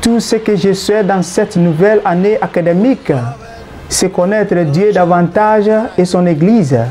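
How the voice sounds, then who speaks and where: A man's voice: sits high, a little slow, warm tone. A young man from Ghana, a seminarian, giving an earnest, formal self-introduction.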